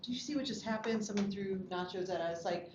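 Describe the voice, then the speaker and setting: A woman's voice: medium pitch, a little fast, darkish tone. A woman speaking casually and tentatively in a group discussion, giving informal feedback on a script. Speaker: table read participant giving script feedback. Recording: echoey room, muffled, clearly noisy.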